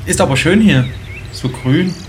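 A man's voice: on the high side, expressive, measured pace, slight background noise. A man playing a cocky but put-upon friend on an adventure in a comedic audio drama. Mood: reluctant. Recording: music behind the voice.

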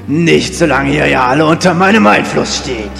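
A man's voice: fairly high pitch, creaky, roomy sound, long reverb tail, voice pushed up, full character voice. A man acting a gloating evil warlord in a game parody audio drama, taunting and pompous. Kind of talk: fan audio drama villain. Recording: music bed behind the voice.

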